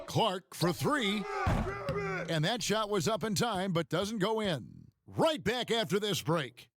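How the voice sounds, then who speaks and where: A man's voice: high-pitched, lively intonation, a bit bright. A man calling basketball play-by-play in short, punchy lines for a video game broadcast. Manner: brisk, punchy play calls. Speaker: play-by-play commentator.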